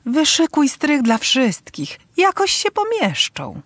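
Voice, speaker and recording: A woman's voice: high voice, huge pitch swings, takes it slowly, fairly bright tone, dry recording, clean studio sound, heavily acted. An actress playing a steadfast, devout village mother in a rhymed radio play, earnest and tender. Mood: quiet resolve.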